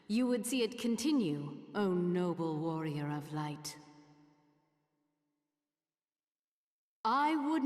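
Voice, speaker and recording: Echoing, slow, solemn rebel woman. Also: lowish voice, darkish tone. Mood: defiant, resolute. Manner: grave, deliberate, theatrical declamation. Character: solemn, defiant female rebel leader, grave and resolute. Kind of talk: dramatic video game voice acting. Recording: echoey hall with long reverb.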